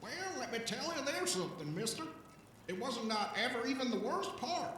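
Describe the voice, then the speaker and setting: A man playing an excitable, folksy old alien vagrant in an audio drama, rambling and stammering in a rough, coarse voice. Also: very high voice, normal speed, heavy reverb, recorded from a distance, a little hiss or hum, exaggerated voice. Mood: animated storytelling. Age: older. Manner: folksy, rambling, stammering, talks of himself in third person. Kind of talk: audio drama character acting.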